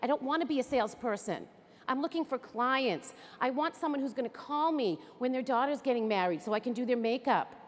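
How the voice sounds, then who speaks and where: High-voiced woman. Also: expressive, fairly quick. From Greater Boston area. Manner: chatty, upbeat, addresses the audience directly. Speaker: beauty consultant giving a training talk. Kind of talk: enthusiastic stage presentation. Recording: echoey hall.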